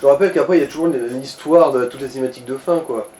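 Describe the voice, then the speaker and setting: A man's voice: medium pitch, fast, a little mellow, slight background noise. A man making wry, slangy remarks as co-host of a casual video game playthrough. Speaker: let's play co-host. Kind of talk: casual gaming commentary with a co-player.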